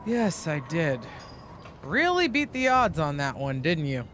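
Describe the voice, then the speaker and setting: A woman's voice: deep voice, very animated, a little slow, a bit bright, exaggerated voice. A woman playing a blunt, sardonic, exasperated wrestling booker in a video game scene. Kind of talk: naturalistic video game dialogue. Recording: faint background ambient noise.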